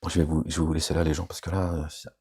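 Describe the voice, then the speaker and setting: A man's voice: a bass voice, level tone, very fast, darkish tone, slightly rough. A middle-aged man giving a rambling, informal religious commentary, often checking in with his listeners and adding joking asides. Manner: digressive, asks "d'accord?" often, jokey asides.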